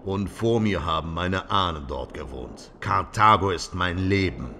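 A man's voice: deep voice, lively intonation, a little slow, vocal fry, sharp tone, a little hiss or hum, exaggerated voice. A man voicing a mysterious, grim and passionate stranger in an audiobook, with a powerful, resonant voice. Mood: solemn. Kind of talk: audiobook character acting.

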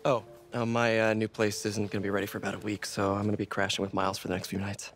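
A man's voice: lowish voice, monotone, fast talker, soft background music, lightly acted. An actor in his twenties playing an awkward, kind young man in a video game, hesitant and stammering. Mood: sheepish, casual. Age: 20s. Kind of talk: naturalistic game cutscene acting.

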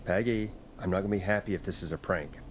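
A man's voice: sits low, fast talker, close to an everyday voice. Guy voicing a jaded sarcastic radio dj for a game, bit of noise behind him. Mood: skeptical, irritated. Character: jaded, sarcastic male late-night radio DJ. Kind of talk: video game voice acting.

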